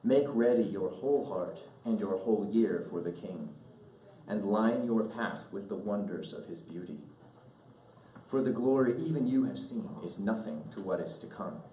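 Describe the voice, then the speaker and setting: A man reads a literary letter aloud in a measured, earnest, oratorical way, pausing deliberately, recorded at a distance in an echoing room. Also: lowish voice, warm tone, slight background noise. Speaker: speaker reading a letter aloud at an event. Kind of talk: solemn literary letter read aloud to an audience. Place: indoor event room.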